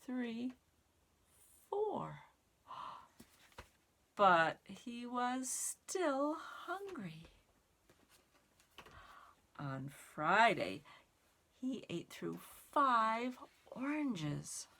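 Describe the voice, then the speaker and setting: A woman reading aloud to young children in simple, expressive, word-by-word phrases. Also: medium pitch. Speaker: storytime reader and sign language teacher. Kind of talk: children's read-aloud and sign lesson.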